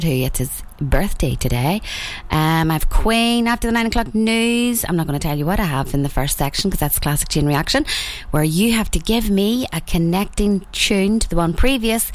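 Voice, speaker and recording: A woman with a Northern Irish accent and a husky voice, hosting morning radio in a chatty, friendly way with frequent ums. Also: mid-range voice. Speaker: radio host. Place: radio studio.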